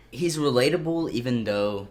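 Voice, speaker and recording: A man's voice: mid-range voice, expressive, unhurried, heavy background noise. A young man co-hosting a casual chat, rambling and losing his train of thought. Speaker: co-host.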